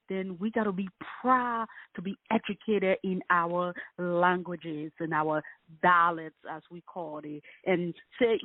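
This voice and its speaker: A woman from Liberia hosting a casual interview, chatty and opinionated, with lively emphasis. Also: medium pitch, dry recording. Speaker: interview host. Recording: dry, phone-like call audio.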